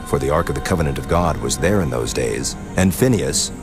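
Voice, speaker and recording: A man narrating scripture from a script in a solemn, measured, formal manner. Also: rumbling low, animated. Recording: music laid under the voice.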